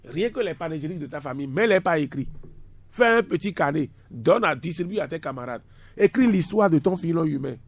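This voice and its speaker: Gravelly emphatic man in his fifties. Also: fairly high pitch, expressive, fairly quick. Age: 50s. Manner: emphatic, repeats words for stress. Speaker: university lecturer guest. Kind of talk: radio discussion.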